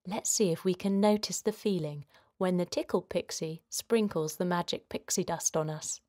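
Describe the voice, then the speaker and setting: A woman leading a guided relaxation for children in a gentle, soothing manner, giving simple instructions with pauses. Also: lowish voice. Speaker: children's guided meditation host.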